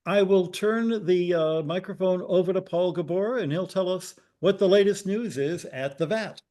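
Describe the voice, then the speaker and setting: A man hosting a video call who calls on people by name and often clears his throat. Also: sits high, normal speed, dark tone. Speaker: meeting host.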